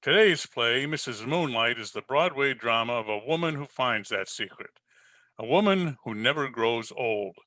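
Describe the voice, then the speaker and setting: A man's voice: mid-range voice, expressive, moderate pace, pushed and bright, no room sound. A man delivering scripted narration as an old-time radio host, formal and theatrical as he presents a play.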